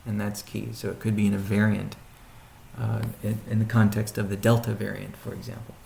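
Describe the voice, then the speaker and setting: A man speaking as a chief executive on a conference call, answering questions in a halting, deliberate way with pauses and restatements, in a roomy recording. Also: on the low side, flat delivery, gravelly, a little mellow, a little hiss or hum. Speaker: company CEO hosting a weekly conference call. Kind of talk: question-and-answer business briefing. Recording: roomy, echoing sound.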